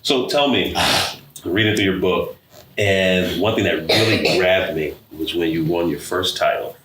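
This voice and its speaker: A man's voice: deep voice, measured pace, a bit bright, a little hiss or hum. A man hosting a casual interview, asking questions and giving frequent encouraging affirmations. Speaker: podcast host. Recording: distant mic in echoey room.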